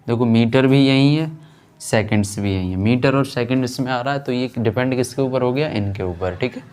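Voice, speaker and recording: A man's voice: mid-range voice, normal speed, a little mellow, smooth. A man teaching a physics class, asking and answering his own questions and repeating key terms. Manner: frequent check-in tag after points. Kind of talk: classroom lesson, explaining while writing on a board.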